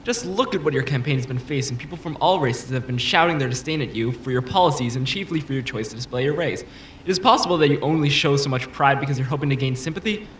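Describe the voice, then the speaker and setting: A man's voice: medium pitch, fast talker, close to an everyday voice. An actor playing a self-assured, combative politician in an audio drama, pressing his argument with polished, insistent rhetoric. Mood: accusatory. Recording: roomy echo, faint background noise.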